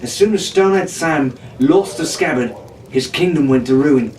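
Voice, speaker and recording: A cocky, excitable teenage pirate captain from an adventure storybook, played by a male reader. He talks with swagger. The recording is roomy, with faint noise. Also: on the high side, moderate pace, close to an everyday voice. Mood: decisive. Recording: echoey room, distant mic, faint hiss.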